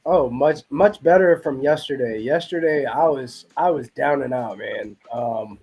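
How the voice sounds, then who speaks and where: A man chatting casually, his voice a little raspy from being sick. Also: medium pitch, a little slow, a little mellow. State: slightly raspy from illness. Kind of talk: casual chat.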